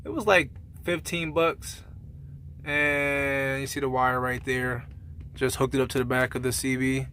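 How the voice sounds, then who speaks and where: A man's voice: medium pitch, little pitch movement, a little slow, bright, forceful tone, a little hiss or hum. A man talking casually and colloquially, like a truck driver giving a rambling, conversational gear review in a vlog.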